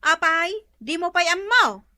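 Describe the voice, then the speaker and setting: A woman's voice: very high voice, big pitch swings, measured pace, sharp tone, no room sound, studio quality, exaggerated voice. A woman playing a meddling, gossipy older female friend in a radio drama, insistent and inquisitive. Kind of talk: Ilocano comedy radio drama acting.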